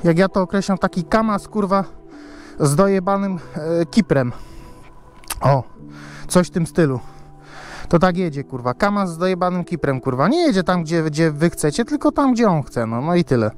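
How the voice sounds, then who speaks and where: A man's voice: high-pitched, lively intonation, normal speed, soft background music. A man vlogging about his motorbike in a rambling, casual way, swearing often and repeating himself. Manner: rambling, swears a lot, many fillers and repeats. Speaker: motovlogger. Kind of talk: casual chat to camera.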